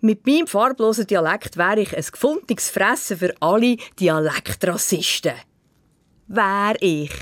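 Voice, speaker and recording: A woman's voice: mid-range voice, no echo. A woman from Zug with a Zug Swiss German accent, delivering a humorous spoken column in a wry, ironic, self-mocking way. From Canton of Zug, Switzerland.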